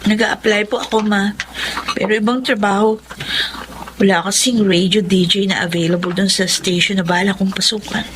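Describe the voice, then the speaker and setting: A woman's voice: medium pitch, steady tone, normal speed, background noise, natural voice. An actress with a Filipino accent playing an eager, wistful young woman job seeker in a radio drama. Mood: hopeful. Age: young adult.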